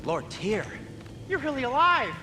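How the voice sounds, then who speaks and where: A man's voice: very high-pitched, sing-song, measured pace, sharp tone, slight background noise, heavily acted. An actor playing a fussy, polite dwarf host in a video game, prim and formal in his wording. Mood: polite, flustered. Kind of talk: cinematic video game acting.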